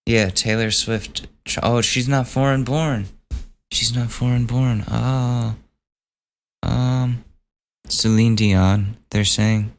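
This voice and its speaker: A man hosting a solo podcast, losing his voice and hoarse, casually reading out gossip items and swearing as he thinks aloud. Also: medium pitch, steady tone, takes it slowly, a little hiss or hum. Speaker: podcast host.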